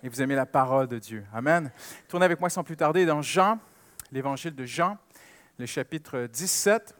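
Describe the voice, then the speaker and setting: A man's voice: mid-range voice, lively intonation, moderate pace, fairly bright tone, dry recording. A man with a French Canadian accent preaching a sermon with personal stories, emphasizing and repeating key phrases.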